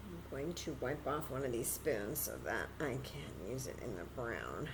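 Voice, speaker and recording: A woman's voice: very deep voice, big pitch swings, normal speed, noisy background. A woman hosting a children's story time, patiently and encouragingly demonstrating a craft step by step in simple, casual words.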